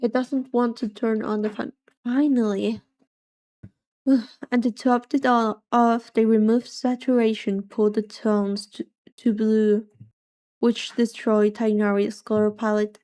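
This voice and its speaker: A young British woman with a soft voice, chatting casually on a livestream with languid, drawling complaints. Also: on the high side, flat delivery, unhurried. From Britain. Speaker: streamer.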